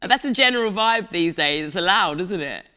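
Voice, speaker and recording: A woman's voice: on the low side, animated, normal speed, sharp tone. A woman hosting a panel discussion, introducing guests in a chatty way and laughing often. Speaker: panel host. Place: event venue in Glasgow.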